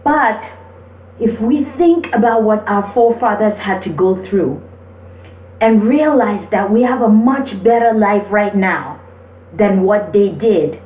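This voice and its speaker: A woman speaks in a slow, deliberate and encouraging way, mixing motivation with personal stories. Her pitch sits in the middle for a woman. The recording is thin and phone-like, with the mic at a distance. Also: echoey room. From Cameroon. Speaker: motivational video host. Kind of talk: motivational talk with personal storytelling.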